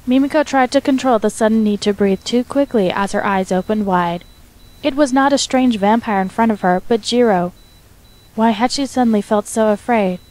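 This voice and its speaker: A woman's voice: fairly high pitch, normal speed, a little mellow, low-quality recording. A woman reading scripted fiction as the narrator, in a dramatic storytelling style.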